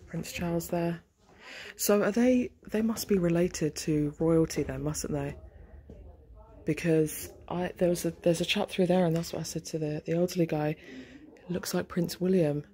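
A young woman chatting casually while filming a family tour of an old manor house on her phone, wondering aloud with soft asides. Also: lowish voice, steady tone, measured pace, long pauses. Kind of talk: casual chat while touring. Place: rooms of a large old manor house, indoors. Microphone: handheld phone camera.

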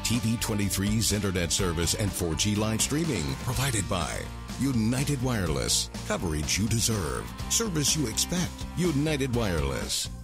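A man's voice: fairly low pitch, sing-song, moderate pace. A man reading a station promo and a sponsor announcement in a polished announcer delivery. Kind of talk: announcer voice-over. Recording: over loud guitar music.

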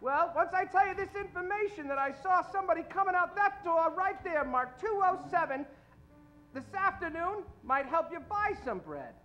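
A woman's voice: very high voice, expressive, moderate pace, fairly bright tone, lo-fi sound. A woman playing a dubious, evasive male street informant in old-time radio comedy, hesitant and agreeable. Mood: shifty, agreeable. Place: indoor theatre stage. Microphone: stand microphone.

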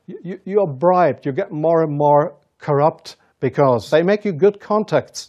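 A middle-aged man with a German accent, a journalist giving earnest interview testimony with emphatic, halting phrasing. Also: a little high, darkish tone. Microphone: lapel mic.

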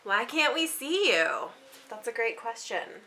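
A woman with a bright, fairly high voice hosts casually alongside a young child. Her pitch moves in a lively way as she prompts and echoes the child encouragingly. The room recording carries slight noise. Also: a little slow. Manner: encouraging, repeats the child's words back. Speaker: book vlogger and mother hosting with her young child. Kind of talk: casual chat with a small child. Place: small book-lined room indoors.

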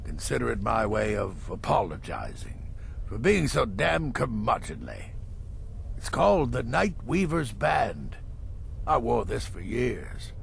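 Gravelly crotchety old assassin, swooping delivery. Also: male voice, medium pitch, very animated, slight background noise, exaggerated voice. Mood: gruff, warm. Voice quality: gravelly, croaky. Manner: theatrical, drawn-out, wry delivery. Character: crotchety old male assassin, grudgingly approving. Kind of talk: video game character dialogue.

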